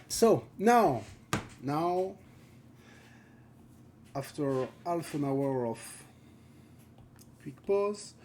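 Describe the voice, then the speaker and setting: A gravelly-voiced man from France speaks English with a French accent, slowly and haltingly, reaching for words between fillers. He chats with viewers while he paints during a live stream. A faint hum of room noise sits under his voice. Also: fairly high pitch. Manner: thinking aloud while painting. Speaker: concept art streamer. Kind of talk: live art stream chat.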